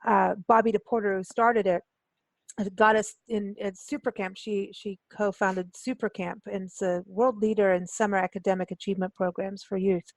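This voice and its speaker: A woman's voice: medium pitch, level tone, normal speed, darkish tone, dry recording, low-quality recording. A woman hosting a radio interview as a casual chat, informal and effusive in how she talks.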